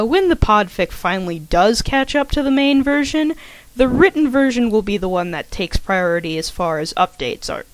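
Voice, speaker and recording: Lively, animated woman introducing an online recording. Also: mid-range voice, dry recording. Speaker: host of a gaming channel, fan fiction writer introducing a podfic. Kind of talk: chatty scripted introduction addressed to listeners.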